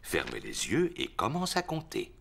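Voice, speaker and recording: A man voicing a kindly, playful daddy pig in a children's cartoon, speaking in a gentle, indulgent way. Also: mid-range voice, wildly swooping pitch, unhurried, gravelly, slight background noise, full character voice. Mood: encouraging.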